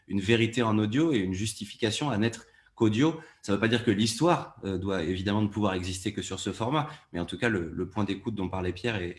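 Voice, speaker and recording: Male, lowish voice, producer speaking on a discussion panel. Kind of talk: panel discussion, explanatory talk.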